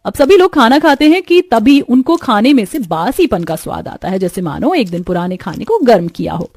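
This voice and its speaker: A woman reading scripted narration for an animated moral story. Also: on the high side, moderate pace, no room sound.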